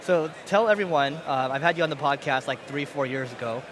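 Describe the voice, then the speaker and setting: A man hosting a podcast interview on a conference stage, asking brisk questions with short acknowledgments. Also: medium pitch, crowd noise. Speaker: podcast host. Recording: noisy event background.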